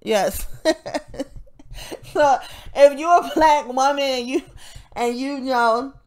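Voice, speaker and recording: A woman's voice: sits high, takes it slowly. A woman with a nasal, congested voice talking in a casual, rambling monologue, swearing often and breaking off into laughs. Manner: profane, rambling, frequent self-interrupting laughs. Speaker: vlogger.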